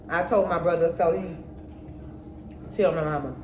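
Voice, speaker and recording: A middle-aged woman with a slightly low voice shares a personal story in a casual, candid way. She slips into remembered dialogue as she talks. The room is echoey. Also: fairly even intonation, measured pace, a little mellow. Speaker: mother co-hosting a storytime vlog with her daughter. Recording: roomy echo, faint background noise.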